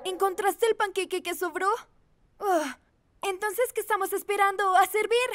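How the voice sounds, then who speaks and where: Squeaky, fussy little owl sidekick. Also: female voice, fairly even intonation, moderate pace, fairly bright tone, dry recording. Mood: eager. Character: small magical owl sidekick, cautious and fussy. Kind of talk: children's cartoon dub acting.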